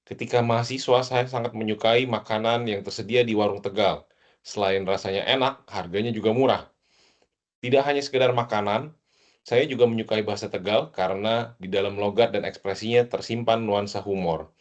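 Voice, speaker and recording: A man from Jakarta giving a measured, formal class self-introduction. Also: fairly low pitch, steady tone, dull audio. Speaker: student presenter. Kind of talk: class presentation.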